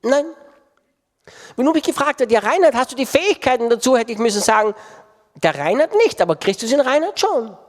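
A man's voice: very high-pitched, very animated, a little fast. A man preaching a sermon with impassioned delivery and emphatic repetition. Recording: long reverb of a large hall.

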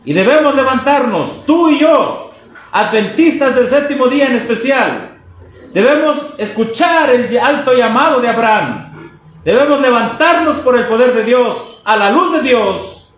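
A man's voice: very high-pitched, a bit bright. A man preaching to a congregation, exhorting with rhetorical questions, reading quotations and commenting on them. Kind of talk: fervent sermon to a congregation, reading quotes and commenting. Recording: echoey room, noisy background.

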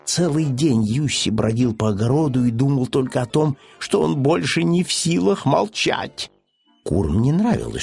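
A man's voice: mid-range voice, gravelly, husky. A man reading a children's story audiobook as its narrator.

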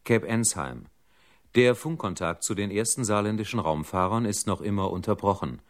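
A sober radio newsreader with a low voice. He delivers a scripted bulletin in formal, measured phrases. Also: animated.

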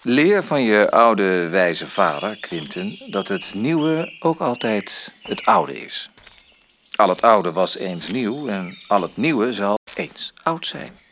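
A man's voice: fairly low pitch, slow, creaky, exaggerated voice. A pompous, chatty father. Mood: playful. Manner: pompous, lively. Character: pompous, talkative father of a mute toddler. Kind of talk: radio drama acting.

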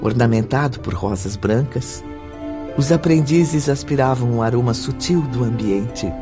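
A Brazilian man narrates a radio drama over prominent music. His voice is medium in pitch, and his phrasing is measured and formal.

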